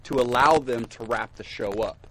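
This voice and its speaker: A man co-hosting a podcast in loose, joking conversation with friends. He swears freely and cracks deadpan jokes. There is a faint hiss of noise behind him. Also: medium pitch, moderate pace, poor audio. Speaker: podcast co-host. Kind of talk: casual chat.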